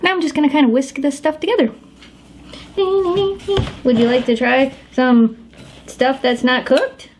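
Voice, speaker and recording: A woman's voice: sits high, a little slow, darkish tone, a little hiss or hum. A woman hosting a casual home cooking how-to in her kitchen, chatting to her dogs as she works. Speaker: home cook demonstrating a recipe.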